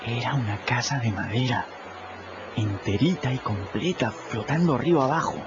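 A man playing a cheeky runaway adolescent boy who narrates his river adventures in a colloquial, animated radio drama style. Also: mid-range voice, moderate pace, background noise, natural voice. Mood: animated storytelling. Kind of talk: radio drama storytelling in first person. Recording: muffled radio production with music behind.

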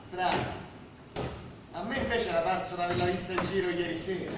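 Far off in a cavernous hall, a male actor plays a cheeky hunchback. His voice is very high and piercing, full of mocking, servile exclamations in a theatrical style. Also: steady tone, brisk, lightly acted. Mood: teasing. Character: sardonic, cheeky hunchbacked man of a Roman refugee camp. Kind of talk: theatrical stage acting. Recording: noisy, very echoey stage recording from afar.

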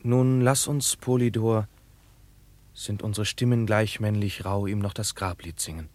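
A tender young prince acted by a man in a classical radio play. His voice is rather low and closely dry. He speaks verse in a solemn, lyrical way. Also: steady tone, a little slow, darkish tone, no room sound, natural voice. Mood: mournful, tender.

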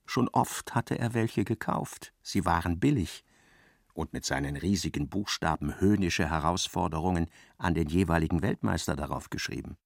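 An actor narrating a literary radio play, an expressive and ironic storyteller. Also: male voice, deep voice, normal speed, creaky, no room sound, studio quality. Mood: wry narration. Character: wry literary narrator of a novel, male.

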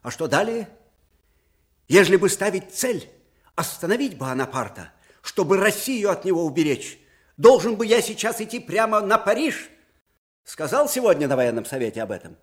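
A man's voice: sits high, sing-song, moderate pace. An older man playing an ageing, fatherly Russian field marshal with old-fashioned folksy speech in a theatrical radio drama. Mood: agitated, bitter. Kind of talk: Soviet radio drama acting.

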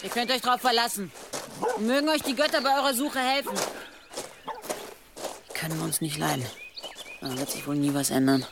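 A woman's voice: medium pitch, lively intonation, normal speed, pauses often, close to an everyday voice. A woman plays a defiant village wife in a German radio drama. She speaks with wry firmness and a lot of movement in her voice, with a noisy farmyard behind her. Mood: polite, resigned.

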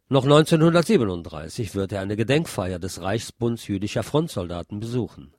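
A man's voice: lowish voice, a little mellow, dry recording, clean studio sound. A man presenting a programme, reading written articles and reviews aloud. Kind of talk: read-aloud newspaper article.

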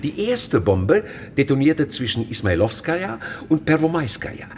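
Radio villain: male actor as gloating secret police inspector, menacing, mocking, swooping lively delivery, slight noise behind. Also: mid-range voice, moderate pace, natural voice. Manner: mocking, smug, theatrical. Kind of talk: German radio crime drama acting.

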